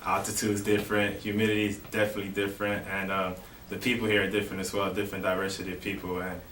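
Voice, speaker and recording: A young man from Miami, a college baseball player, answering interview questions in a relaxed way with lots of "you know". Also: lowish voice, fast talker. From Miami, Florida. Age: young adult. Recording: roomy, distant mic with faint noise.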